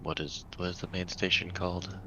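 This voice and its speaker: Very low male voice, creaky, slow hesitant roleplay, tabletop player, dull recording. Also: flat delivery, bright, forceful tone. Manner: hesitant, groping for words. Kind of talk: improvised in-character roleplay dialogue. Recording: dull audio, faint background noise.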